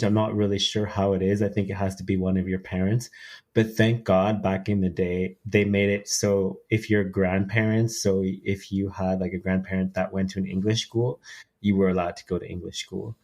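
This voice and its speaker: A man's voice: fairly low pitch, fairly even intonation, normal speed, a little mellow. A man in his thirties, from Peru and raised in Quebec, telling his story as a podcast guest in a hesitant way, with many fillers and restarts. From Peru, raised in Quebec, Canada. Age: 30s. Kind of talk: casual interview storytelling.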